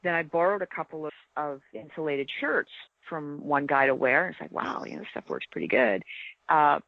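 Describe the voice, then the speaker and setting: A woman's voice: sits low, animated, brisk, vocal fry, dry recording, narrow, tinny audio. A woman speaking earnestly and emphatically from experience, giving practical advice in a casual panel chat. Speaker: podcast guest, experienced hunter.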